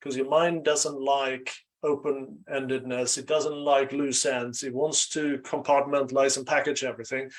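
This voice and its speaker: A middle-aged man from Sweden speaks reflectively as an interview guest, pausing often and checking in with "right?". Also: mid-range voice, little pitch movement, poor audio. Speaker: podcast guest, life coach.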